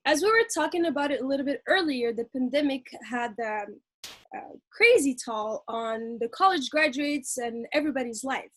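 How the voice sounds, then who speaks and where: High-voiced woman host. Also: dry recording. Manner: warm and encouraging. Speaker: radio host. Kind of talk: interview questions.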